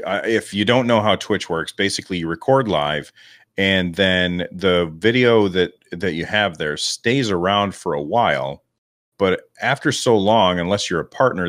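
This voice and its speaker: A man with a deep radio voice, rambling and self-deprecating as a guest on a podcast interview. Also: no room sound, clean studio sound. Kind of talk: relaxed interview talk.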